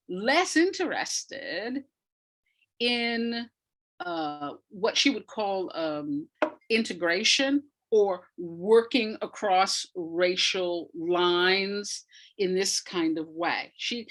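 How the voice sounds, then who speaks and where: An older woman speaking reflectively as an interview guest, in a measured, deliberate way with emphasis on key words. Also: mid-range voice, a bit bright. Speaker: guest, playwright and retired academic.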